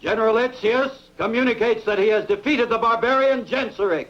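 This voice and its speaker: A man acting a pompous, high-pitched Roman emperor. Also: a little fast, a bit bright, dull audio. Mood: pompous, proclaiming. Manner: pompous, declamatory. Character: proud Roman emperor, pleased with himself. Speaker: actor in a dubbed film. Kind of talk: dubbed sword-and-sandal film acting.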